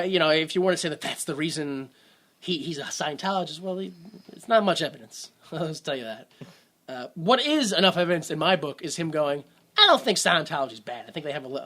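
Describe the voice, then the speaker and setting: A man's voice: a little high, fairly bright tone. A man co-hosting a casual movie podcast, rambling with sarcastic asides. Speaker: podcast co-host.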